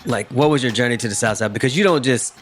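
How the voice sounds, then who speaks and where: A man's voice: medium pitch, fast. Male podcast host over music. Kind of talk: casual podcast interview.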